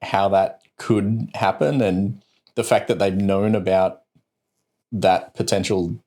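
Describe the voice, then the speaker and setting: A man co-hosting a casual tech podcast, explaining news items in a low-key, slightly hesitant way. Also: steady tone, slow pace, dark tone. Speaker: podcast co-host.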